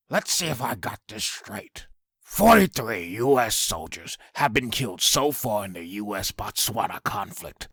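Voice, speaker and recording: A man playing a cynical TV news commentator in a comic dub, husky and creaky, asking sarcastic, suspicious rhetorical questions. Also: fairly low pitch, fairly bright tone, no room sound, heavily acted.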